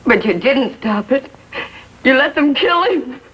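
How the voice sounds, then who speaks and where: A woman's voice: high voice, expressive, unhurried, a bit bright, husky, exaggerated voice. A woman playing a bitter, grief-stricken American mother in a 1950s TV drama, speaking in anguished, accusing tones. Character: bitter, grief-stricken mother blaming police for son's death. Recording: dull vintage TV soundtrack.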